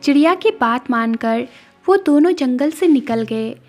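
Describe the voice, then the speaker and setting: A woman narrates a cartoon moral tale in a high voice. It is scripted storytelling, with faint music playing behind her. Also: lively intonation, unhurried, a little mellow.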